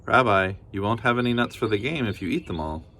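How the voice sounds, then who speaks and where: A man voicing a kindly old grandmother who fusses warmly over her guest. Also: on the low side, expressive, quick pace, heavily acted. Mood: doting. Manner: doting, gently scolding.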